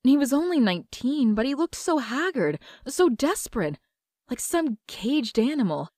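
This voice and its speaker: Woman doing a wry, wistful young narrator with a lively, animated pitch. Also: moderate pace, no echo, studio quality. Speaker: narrator of her own past. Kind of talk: comic dub narration.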